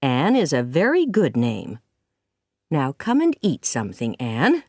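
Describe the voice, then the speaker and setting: A woman's voice: on the low side, very animated, takes it slowly, darkish tone, no echo, studio quality, heavily acted. A woman voicing a stern, practical middle-aged farm woman who speaks firmly.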